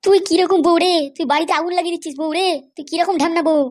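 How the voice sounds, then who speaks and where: Someone playing a fast, high-voiced, hot-tempered cartoon dad. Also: steady tone. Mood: annoyed. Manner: scolding, blustering. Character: hot-tempered, foul-mouthed father and husband. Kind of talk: cartoon comedy dubbing.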